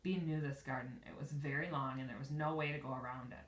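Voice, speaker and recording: A woman teacher reading a children's storybook aloud expressively, pausing to explain the pictures. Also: a bass voice, fairly even intonation. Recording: echoey room, distant from mic.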